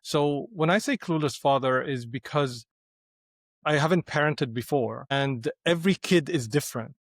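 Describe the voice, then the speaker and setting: A man's voice: fairly high pitch, normal speed, no echo, studio quality. A middle-aged man from Syria, a podcast guest speaking reflectively with frequent restarts. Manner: thoughtful, hesitant with restarts. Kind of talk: reflective conversation.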